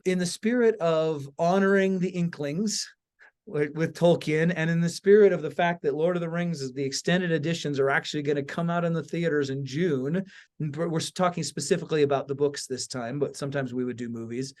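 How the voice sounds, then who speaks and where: A man's voice: a little high, brisk, a little mellow. Earnest man hosting a conversational podcast. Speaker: podcast host.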